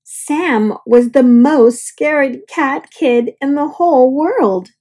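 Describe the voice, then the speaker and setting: Very slow, high-voiced woman reading a storybook. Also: mellow. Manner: deliberate, dramatic pauses for young listeners. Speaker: storyteller reading a picture book aloud. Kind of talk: expressive children's read-aloud narration.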